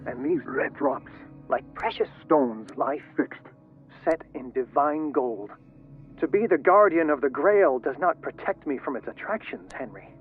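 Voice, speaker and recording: An actor playing a solemn scholar obsessed with occult secrets, reading letters formally through a radio-like filter in a video game. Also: male voice, fairly high pitch, animated, unhurried, soft background music. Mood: solemn, confessional. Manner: formal, literary. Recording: tinny, narrow radio-like sound.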